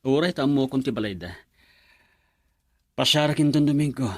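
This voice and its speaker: An actor playing an earnest man who calmly and persuasively asks to talk with a young woman. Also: mid-range voice, normal speed, dry recording, studio quality, lightly acted. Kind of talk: radio drama acting.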